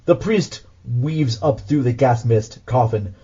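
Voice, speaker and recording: Male narrator, scripted scene-setting, audio drama, mid-range pitch, slightly dull recording. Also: slow pace, warm tone, husky. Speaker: host and narrator.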